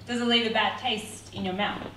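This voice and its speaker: A woman's voice: mid-range voice, steady tone, moderate pace, bright, forceful tone, a little hiss or hum. A young woman performing spoken word poetry with a rhythmic, defiant delivery. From Australia. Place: theatre stage. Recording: roomy hall, mic at a distance.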